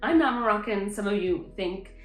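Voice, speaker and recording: A woman's voice: mid-range voice, lively intonation, normal speed. A woman who owns a henna hair care shop, chatting enthusiastically and directly to viewers about her products. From Montreal, Canada. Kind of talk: product showcase vlog. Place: her salon and shop space. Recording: roomy space with light music.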